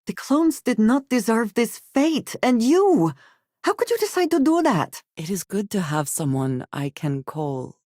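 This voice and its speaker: A guarded woman space explorer from a video game. Her speech is formal, serious and measured, with few contractions. The recording is close and very dry. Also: low-pitched, darkish tone, no room sound, heavily acted. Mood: angry, accusing, then calm, sincere. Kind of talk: scripted video game companion dialogue.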